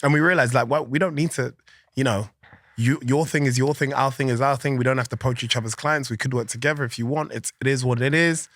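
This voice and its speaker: Guest: man, animated, loose and chatty, close dry mic. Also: medium pitch, fast talker, no echo. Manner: animated, chatty, laughs often, many fillers. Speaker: podcast guest, organisation founder. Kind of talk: casual interview chat.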